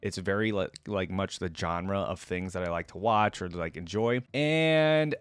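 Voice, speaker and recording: Guy with a lowish voice doing a chill casual reaction vid, slangy, thinks out loud, really dry sound. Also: measured pace, smooth, dry recording, faint music behind. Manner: informal, thinking aloud, slangy. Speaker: reaction video host.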